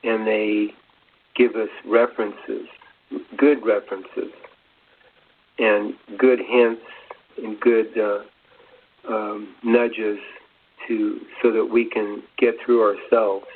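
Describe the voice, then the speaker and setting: Slow, flat-voiced man, halting delivery. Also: on the low side. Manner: halting, hesitant, searching for words. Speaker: co-presenter. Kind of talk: unscripted spiritual explanation. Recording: dull lo-fi radio sound, faint noise.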